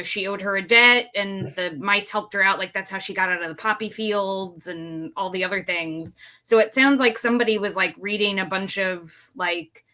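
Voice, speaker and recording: A woman's voice: mid-range voice, bright, forceful tone. A woman chatting casually as a panelist, explaining things in a rambling way.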